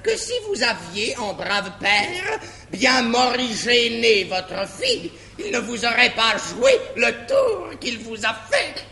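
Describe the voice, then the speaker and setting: A man's voice: very high-pitched, wildly swooping pitch, sharp tone, exaggerated voice. An actor playing a fussy, suspicious old bourgeois father in a classical French stage farce, declamatory, emphatic and self-satisfied. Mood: smug, lecturing. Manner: declamatory, deliberately drawn out, emphatic. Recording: echoey 1961 stage recording, faint noise.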